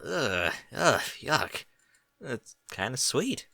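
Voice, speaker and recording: A man voicing a gossipy, snarky young female pony in a story, in a hushed manner. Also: mid-range voice, sing-song, drawn-out words, creaky, no echo, studio quality, full character voice. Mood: disgusted, softened.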